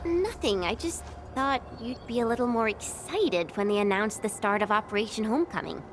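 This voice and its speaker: An actress playing a caring, earnest young female comrade in a video game, with an expressive, friendly manner. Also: fairly high pitch, moderate pace, lightly acted. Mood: earnest, concerned. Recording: loud game music behind. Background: loud music.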